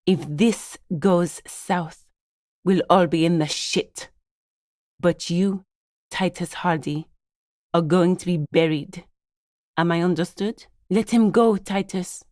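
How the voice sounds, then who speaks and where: Stern, clipped lawyer in a game. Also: female voice, on the low side, takes it slowly, pauses often, darkish tone, no echo, clean studio sound. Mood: angry, commanding. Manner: formal, clipped, authoritative, lawyerly. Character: stern, guarded woman acting as a gang's lawyer. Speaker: video game character voice actor. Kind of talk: scripted game dialogue.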